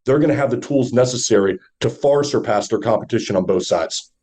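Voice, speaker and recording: A man with a deep, warm voice talks as a podcast guest. He coaches listeners confidently, leaning on rhetorical questions as he lays out his advice. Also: a little fast. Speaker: podcast guest, brand and media speaker. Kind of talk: motivational podcast talk.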